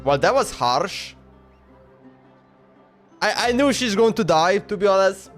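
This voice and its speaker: A man's voice: sits high, expressive, a little slow. A man reacting live to a video game as a streamer, chatting casually and bluntly. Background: faint game music.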